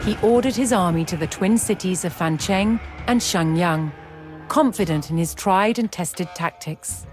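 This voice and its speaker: A woman delivering scripted historical documentary narration in a measured, dramatic storytelling manner with deliberate pauses. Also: fairly low pitch. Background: background music.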